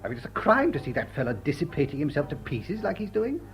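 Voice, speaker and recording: An actor playing an amiable, grumbling English gentleman of leisure with an upper-class accent and a blustery, chatty manner in a period drama. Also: fairly high pitch, wildly swooping pitch, fast. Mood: grumbling, genial. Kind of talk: period drawing-room radio drama acting. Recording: dull muffled audio, music underneath.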